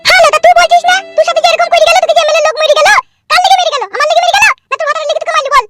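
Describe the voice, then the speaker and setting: A woman voicing a scolding, aggrieved village wife and mother in a comedy cartoon, full of shrill, nagging complaints. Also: fairly even intonation, racing. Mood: indignant. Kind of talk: amateur comedy cartoon dialogue. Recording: music bed behind dialogue.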